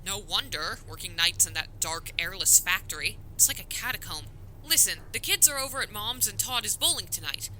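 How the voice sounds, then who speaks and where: A woman's voice: mid-range voice, animated, brisk, pushed and bright. A woman playing a concerned, chatty, motherly sister in a scripted comic dub. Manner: chatty, solicitous. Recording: faint noisy ambience.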